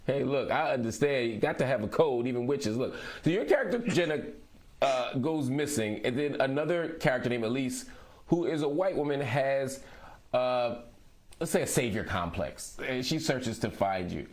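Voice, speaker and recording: A man's voice: medium pitch, animated, normal speed. A middle-aged man hosting an interview, conversational with playful asides. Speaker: interview host.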